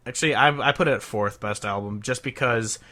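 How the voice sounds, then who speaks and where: A young man co-hosting a casual podcast, agreeable and quick to say yeah while giving his own opinions. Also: fairly low pitch, sharp tone. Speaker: podcast co-host. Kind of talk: casual chat.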